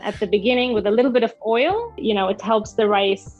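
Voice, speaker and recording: A woman's voice: medium pitch, a little fast, lo-fi sound, faint music behind. A young woman from Egypt chatting over a video call, explaining a dish step by step with frequent fillers and restarts. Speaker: guest food creator. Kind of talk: casual explanatory chat.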